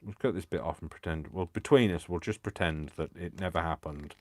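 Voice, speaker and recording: A man's voice: sits low, a little fast, no echo, clean studio sound. A man hosting a live crafting stream, chatting to himself as he works and poking fun at his own mistakes. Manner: self-mocking, talks to himself. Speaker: crafting video host.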